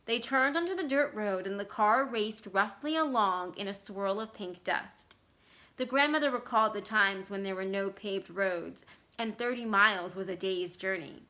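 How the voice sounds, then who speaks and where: A woman reading literary fiction aloud as expressive scripted narration. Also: medium pitch, moderate pace. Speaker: library staff story reader.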